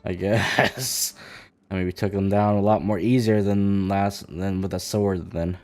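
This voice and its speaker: A man with a low voice comments casually on a game as he streams it. His remarks are slangy and teasing, and faint music plays behind him. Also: steady tone, measured pace, a little mellow. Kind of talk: casual reaction commentary over gameplay.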